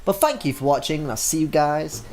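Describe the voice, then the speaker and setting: A man's voice: fairly high pitch, expressive, a little fast, darkish tone, slight background noise. A gaming YouTuber, a man, reading a visual novel aloud in a casual, chatty way.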